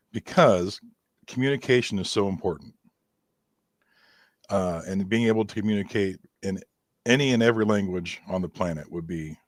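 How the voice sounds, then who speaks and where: A man's voice: on the low side, no echo, clean studio sound. A man talking as a guest in a casual interview, rambling through stories and laughing often at himself. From Irish heritage. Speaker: guest musician.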